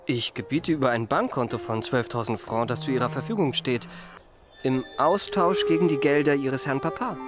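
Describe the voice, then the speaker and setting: A man's voice: medium pitch, moderate pace, over loud music, natural voice. An actor playing a suave, self-possessed young confidence trickster, narrating his memoirs in polished literary style for a radio play. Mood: courteous, composed. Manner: polished, courteous, composed.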